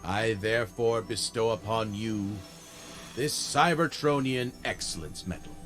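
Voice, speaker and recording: Stately low-voiced robot leader, played by a man. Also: a little slow, music in the background, natural voice. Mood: solemn, grateful. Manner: formal, stately oratory. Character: noble, grateful male robot leader, grave and formal. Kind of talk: video game cutscene acting.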